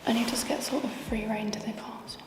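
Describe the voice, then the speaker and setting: A woman with a southern English accent giving a casual travel vlog in a hushed voice, often dropping to a whisper. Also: a little high, steady tone, fairly quick. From England. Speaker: travel vlogger. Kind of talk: casual vlog narration to camera. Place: touring a castle, often inside its stone rooms.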